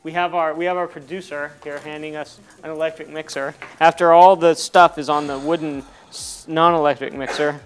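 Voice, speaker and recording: A man's voice: a little high, level tone, moderate pace, few pauses, clean tone, soft background music. A man in his thirties clumsily baking in a home kitchen, nervous and self-mocking, laughing often, picked up by a camera mic a few meters away. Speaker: comedy co-host learning to bake. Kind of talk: joking cooking show banter.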